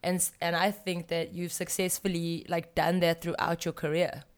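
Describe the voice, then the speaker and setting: A woman's voice: on the low side, flat delivery, moderate pace. A woman hosting a casual interview, chatty and supportive, phrasing things loosely and conversationally. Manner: supportive, chatty, uses like often. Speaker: interviewer, host.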